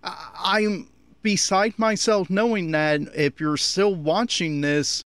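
A man's voice: sits high, takes it slowly, fairly bright tone, no room sound. A man giving an opinionated, critical audio commentary on an anime.

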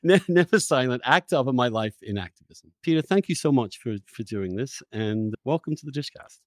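A man's voice: medium pitch, lively intonation, brisk, no echo, clean studio sound. A man hosting a conversational interview podcast, feeling his way through questions and rephrasing as he goes. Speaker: podcast host.

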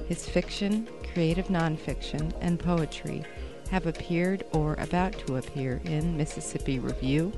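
A woman's voice: sits low, unhurried. A woman podcast host introducing authors and stories in scripted announcements. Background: music.

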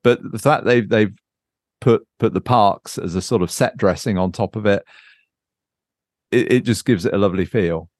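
A man with a fairly low voice talks informally as a podcast host, recorded dry with very little room sound. He thinks aloud in a casual, unscripted way, often searching for the right word. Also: moderate pace, long pauses, darkish tone, no echo. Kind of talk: casual unscripted chat about board games.

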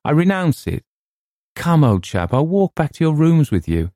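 Man voicing a soothing young officer, lifted pitch. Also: sing-song, normal speed, dark tone, no room sound, studio quality. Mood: placating. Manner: kindly, soothing, placating. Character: soothing, placating young officer humoring his cousin. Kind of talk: dramatic audiobook character reading.

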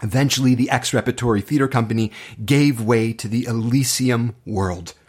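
A man's voice: medium pitch, moderate pace, darkish tone, slightly rough. A man speaking as an acting teacher, telling personal stories to motivate students in an earnest, impassioned way with dramatic, emphatic phrasing.

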